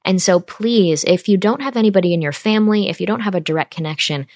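A woman's voice: mid-range voice, fast talker, a little mellow, no room sound, clean studio sound. A woman in her twenties from upstate New York, hosting a podcast and talking earnestly and directly to her listeners. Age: 20s. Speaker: podcast host. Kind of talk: casual monologue.

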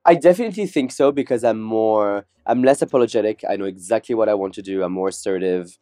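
A man's voice: lowish voice, fast talker, dark tone, dry recording, clean studio sound. A man, a podcast guest chatting candidly and jokingly, acting out quotes. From France. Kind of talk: casual interview chat.

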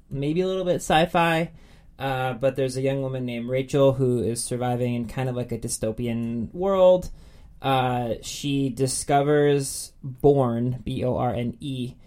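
A man's voice: medium pitch, fairly even intonation, measured pace, darkish tone. A man co-hosting a podcast in a casual, enthusiastic chat. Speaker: podcast co-host.

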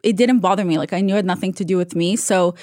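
Low-voiced woman, chatty and candid. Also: quick pace, darkish tone, no echo, studio quality. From Russia, raised in Israel. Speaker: podcast guest. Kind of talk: casual interview chat.

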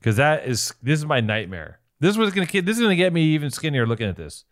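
A man's voice: a little high, sing-song, fast talker. A man co-hosting a podcast in casual comedic banter, profane and teasing, often exclaiming. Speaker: podcast co-host.

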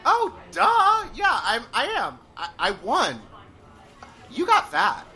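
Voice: sits high, wildly swooping pitch, slow pace, pushed and bright, heavily acted. An actor playing a bitchy, demanding drag queen star in a comedic audio drama, haughty and theatrical. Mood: dismissive.

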